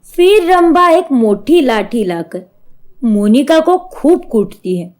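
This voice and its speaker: A woman's voice: high-pitched, sing-song, slow, a little mellow. A woman narrating a scripted story as a storyteller.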